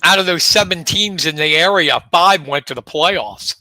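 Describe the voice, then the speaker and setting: Bright-toned man hosting local sports talk. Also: on the high side, normal speed, dry recording. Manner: leads the rundown of teams, relaxed and chatty. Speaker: co-host of a local sports show.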